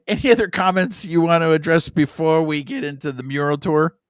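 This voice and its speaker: A man's voice: fairly high pitch, moderate pace, dry recording, studio quality. A man in his forties, a native Spanish speaker, co-hosting a podcast in playful, hyped-up casual chat. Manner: lively, playful hype. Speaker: podcast co-host.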